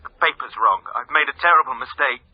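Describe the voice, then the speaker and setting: Male actor, panicky art buyer, raspy, agitated and plaintive, radio drama, roomy muffled recording. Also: mid-range voice, brisk, lightly acted. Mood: grave confession. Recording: roomy, dull radio drama phone scene.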